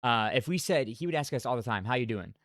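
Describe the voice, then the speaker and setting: A man's voice: medium pitch, animated, fast talker, a bit bright, dry recording, clean studio sound. A man co-hosting a casual podcast, blunt and joking, swearing now and then. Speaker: podcast co-host. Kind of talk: casual chat. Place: small room with several people.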